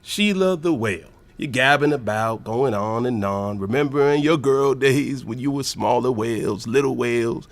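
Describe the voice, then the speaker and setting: An actor playing an older retired professor, a preachy zealot who rambles earnestly and emphatically in an audio drama. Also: male voice, mid-range voice, normal speed, few pauses, no room sound, natural voice. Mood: earnest, rambling.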